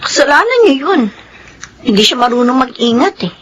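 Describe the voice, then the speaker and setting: A woman's voice: high voice, lively intonation, brisk, a little hiss or hum. A woman playing a spiteful, haughty young actress full of scorn for her sister in a radio drama. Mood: scornful.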